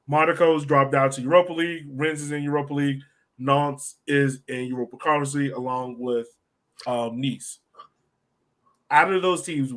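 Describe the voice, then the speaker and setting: A man's voice: mid-range voice, fairly bright tone. A man hosting a podcast, chatting casually and putting questions to his guests. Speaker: podcast host.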